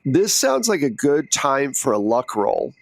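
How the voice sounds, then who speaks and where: A man's voice: mid-range voice, big pitch swings, a little slow, creaky. A man running a tabletop roleplaying game, narrating in a conversational, improvised way as game master. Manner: conversational, second-person storytelling.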